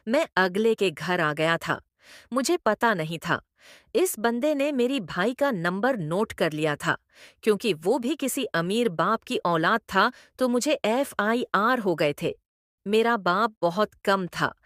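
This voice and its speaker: A woman's voice: medium pitch, animated, slow, fairly bright tone, no echo, clean studio sound. A woman reading a scripted first-person story as a narrator.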